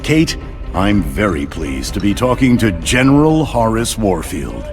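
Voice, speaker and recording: An actor playing a vain, pompous television news anchor with theatrical broadcast delivery in a video game. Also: male voice, on the low side, very animated, a little slow, over music. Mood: smug. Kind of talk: video game parody newscast.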